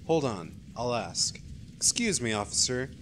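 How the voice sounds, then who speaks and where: Man playing lively, protective young friend. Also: mid-range voice, unhurried, slight background noise. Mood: casual, friendly. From United States. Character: protective young American man steadying his frightened friend. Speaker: actor in amateur college radio play. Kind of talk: radio-play dialogue.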